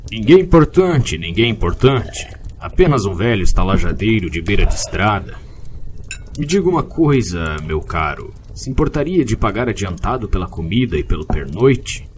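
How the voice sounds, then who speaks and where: A man's voice: on the low side, big pitch swings, moderate pace, a little hiss or hum. A man playing a kindly, lonely old innkeeper, telling tales with theatrical phrasing in an audio drama. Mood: welcoming. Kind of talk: dramatic fairy-tale narration in an audio drama.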